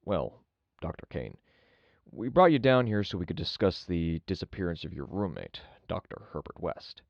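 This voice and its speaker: A man playing a businesslike police officer questioning someone in an official, brisk way in an audio drama. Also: sits low, big pitch swings, gravelly, no room sound, heavily acted. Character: businesslike male missing-persons police officer. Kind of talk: audio drama interrogation dialogue. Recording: dry, dull close recording.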